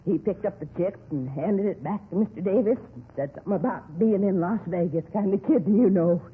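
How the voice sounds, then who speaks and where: A man's voice: high voice, brisk, breathy edge, phone-quality sound. An actor playing a nosy, gossipy older woman who rambles confidingly, in a 1950s radio drama style. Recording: thin, noisy vintage radio recording.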